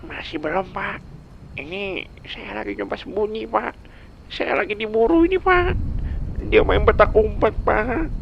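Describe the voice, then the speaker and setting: A man playing a flustered, deferential manager pleading with the boss in an audio roleplay, with a creaky edge. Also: high voice, lively intonation, a little slow, dull audio, a little hiss or hum, full character voice. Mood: panicked, pleading. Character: panicky, deferential male manager, hapless underling.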